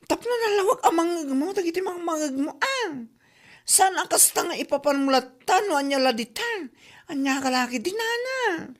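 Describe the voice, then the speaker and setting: A woman's voice: extremely high pitch, expressive, normal speed. A woman with an Ilocano accent playing a dramatic, gossipy confidante who exclaims theatrically in a comedy drama. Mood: advising.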